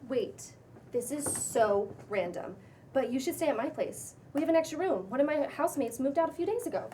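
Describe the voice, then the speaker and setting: A woman's voice: sits high, expressive, mellow. A woman acting a bubbly influencer in her thirties with an intense streak, gushing and slangy, in a cold script read. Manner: gushing, drawn-out exclamations, casual slangy talk. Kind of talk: cold table read of a comedy pilot. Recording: distant room mic, faint background noise.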